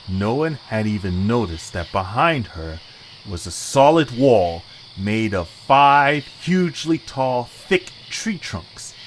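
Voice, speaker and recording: A man's voice: mid-range voice, fairly bright tone, a little hiss or hum. A man reading scripted story narration.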